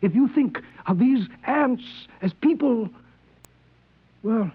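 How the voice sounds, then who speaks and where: A man's voice: very high voice, animated, slow, husky, dry recording, narrow, tinny audio, exaggerated voice. An actor playing a scheming, menacing male demagogue in 1950s radio drama style, insinuating and insistent. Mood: urgent, fervent. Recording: thin, dry old radio broadcast recording.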